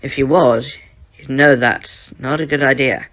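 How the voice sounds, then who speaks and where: A man's voice: medium pitch, steady tone, unhurried, fairly bright tone. A man voicing a young woman, an eager, matter-of-fact survivor in an amateur fan fiction story.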